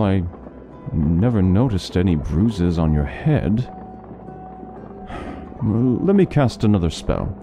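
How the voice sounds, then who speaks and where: An actor playing a learned doctor who eagerly lectures on lore in an interactive fantasy story. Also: male voice, on the low side. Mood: inquiring. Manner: talkative, lecturing, fond of lore. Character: scholarly male doctor, middle-aged, chatty lore lover.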